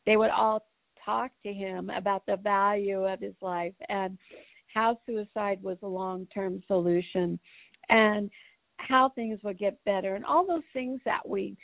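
A woman co-hosting a podcast, earnest and hoarse from a cold, clearing her throat now and then. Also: mid-range voice, no room sound. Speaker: podcast co-host. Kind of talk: casual podcast talk. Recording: dry, tinny phone-like sound.

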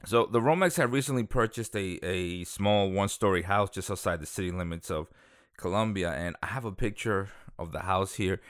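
Male true crime host, lower register, smooth tone, rambling casual delivery full of fillers. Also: moderate pace, no room sound, clean studio sound. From Dominican Republic, raised in Queens. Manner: rambling, full of fillers and self-corrections, chuckles aside. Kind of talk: casual storytelling.

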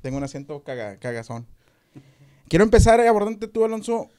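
Mexican man hosting a loose podcast, asking questions between crude jokes and plenty of slang. His voice sits a little high for a man. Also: big pitch swings, fast talker, warm tone, clear, resonant tone. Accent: Mexican Spanish. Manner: joking, crude slang, interviewing. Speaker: podcast host. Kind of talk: casual podcast chat.

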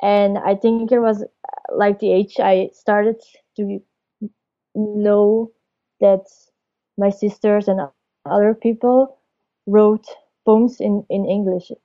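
Slow-talking young woman. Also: mid-range voice, flat delivery. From Belgium. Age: 20s. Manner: hesitant, searching for words, laughs softly. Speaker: storyteller guest. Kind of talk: casual interview answers. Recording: dull lo-fi audio.

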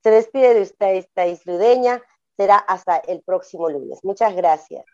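A woman's voice: mid-range voice, moderate pace. A woman hosting a community radio interview program, speaking in a formal, ceremonial way. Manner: warm ceremonial toasting, formal phrasing. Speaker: radio host. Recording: dull lo-fi dry radio audio.

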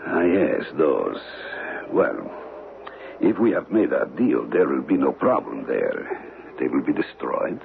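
An actor playing a ruthless, conscienceless Major in an old radio drama, speaking formally and precisely with calm menace. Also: male voice, very deep voice, takes it slowly, creaky, narrow, tinny audio, exaggerated voice. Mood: cold, probing. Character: cold, ruthless killer, a Major without conscience, bargaining and menacing. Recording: noisy, band-limited old radio recording.

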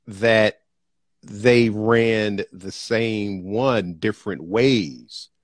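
A man hosting an interview show, asking questions in an expressive, enthusiastic way. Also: lowish voice, extremely slow, no echo, clean studio sound. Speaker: podcast host. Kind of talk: interview conversation.

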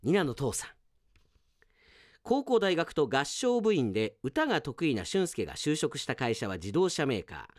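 A man hosting a radio show, chatting casually as he reads out listener mail and comments on it in an animated, chatty way. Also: on the high side, no room sound, studio quality. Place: dry radio studio.

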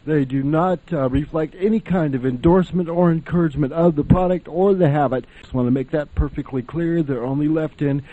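A man's voice: fairly high pitch, fairly quick, hardly any pauses, mellow. A man hosting a radio show with chatty, folksy introductions, on a lo-fi recording. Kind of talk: casual scripted radio introduction.

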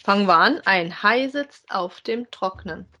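A woman's voice: medium pitch, expressive, a little slow, pushed and bright. A woman with a mid-range voice co-hosts a podcast. She speaks in a casual, conversational way, as in an informal review chat. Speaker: podcast co-host. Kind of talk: casual review chat about audio dramas.

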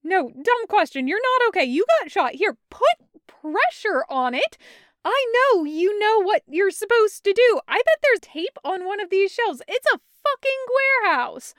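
A woman voicing a brash young man who swears and talks hysterically, loud and rushing. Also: on the high side, animated, clear, resonant tone, dry recording, clean studio sound. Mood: frantic.

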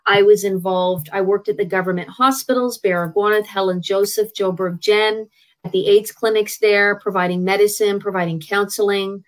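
A woman's voice: medium pitch, steady tone, moderate pace, poor audio. A middle-aged woman with a Canadian accent, a guest telling her life story frankly in a conversational way, with hesitant restarts. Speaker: interview guest, traditional healer. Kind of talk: candid personal storytelling.

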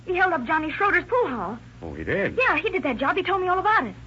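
A frightened young wife who pleads and frets in a high, bright voice. It is 1940s radio acting, heard through a dull, muffled old recording. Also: female voice, wildly swooping pitch, fast, a little hiss or hum, full character voice. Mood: eager. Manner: pleading, fearful.

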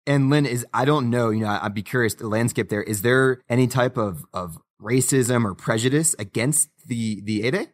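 A man's voice: mid-range voice, normal speed, hardly any pauses. A man hosting an interview podcast, asking friendly, enthusiastic questions with plenty of fillers. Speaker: podcast host.

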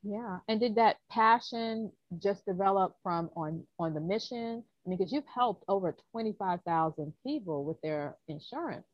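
A woman's voice: medium pitch, animated, normal speed, hardly any pauses, no echo, lo-fi sound. A woman hosting a podcast interview, introducing her guest and asking him questions. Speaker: podcast host.

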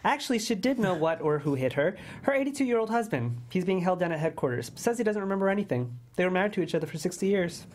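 Woman reading as a fast-talking, wry police detective. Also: lowish voice, sing-song, darkish tone, slight background noise. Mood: wry, businesslike. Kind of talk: table read of a TV pilot script.

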